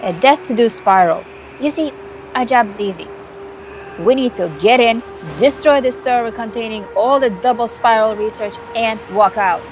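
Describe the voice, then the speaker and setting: A woman's voice: a little high, moderate pace, close to an everyday voice. Woman playing a cocky, scheming vampire hacker. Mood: theatrical, vengeful. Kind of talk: amateur voice acting of a visual novel. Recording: music behind the voice.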